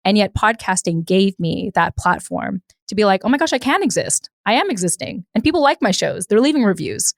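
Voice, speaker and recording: A woman's voice: mid-range voice, very animated, brisk, gravelly, hardly any pauses, no echo, studio quality. A woman hosting a podcast in a casual, conversational and emphatic way. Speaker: podcast host.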